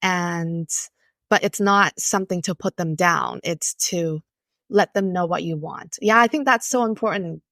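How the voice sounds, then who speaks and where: A woman from Taiwan, a company co-founder, speaking as a podcast guest in a measured, thoughtful way with frequent pauses and fillers. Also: fairly low pitch, a bit bright, dry recording, studio quality. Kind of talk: podcast conversation.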